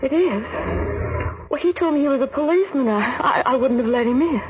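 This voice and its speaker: Anxious, grieving daughter, muffled old radio. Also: female voice, sits high, expressive, brisk. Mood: flustered. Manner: hesitant, pleading. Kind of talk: 1940s radio drama acting. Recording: muffled, noisy 1940s radio recording.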